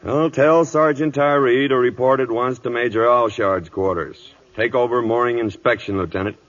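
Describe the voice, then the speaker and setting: Actor as a gruff, dry old-time radio cavalry captain. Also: male voice, mid-range voice, steady tone, normal speed, fairly bright tone, natural voice. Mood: brisk, commanding. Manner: clipped, authoritative, wry. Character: gruff, dry-humoured veteran cavalry captain near retirement. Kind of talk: old-time radio drama acting with first-person narration. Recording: dull vintage radio broadcast, music behind.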